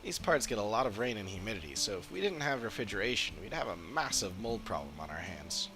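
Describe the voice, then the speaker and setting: A man's voice: fairly low pitch, big pitch swings, fast. A man voicing a proud, eager machinery enthusiast from a game, explaining things chattily as he reads the dialogue. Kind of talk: reading game dialogue in character. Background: game music.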